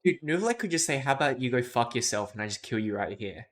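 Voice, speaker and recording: A man's voice: medium pitch, animated, fast, a bit bright. A young man streaming a game, reacting in casual, joking, sweary chat. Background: game music.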